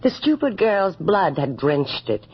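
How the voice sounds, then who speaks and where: A woman's voice: on the low side, very animated, slightly rough, exaggerated voice. An actress playing a vain, cruel, passionately devoted noblewoman in a theatrical 1980s radio horror drama. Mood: cold, silky. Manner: theatrical, intense, drawn-out delivery. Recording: muffled old radio drama with hiss.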